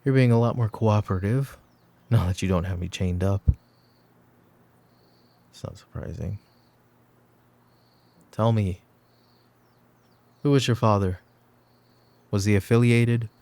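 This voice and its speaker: A brooding mafia boss acted by a man speaks in a dark, mellow, fairly low voice at a very unhurried pace. He is calm and commanding, with a protective, teasing edge. The delivery is soft and intimate, in the manner of a roleplay ASMR drama. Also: gravelly. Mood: firm, questioning. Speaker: voice actor.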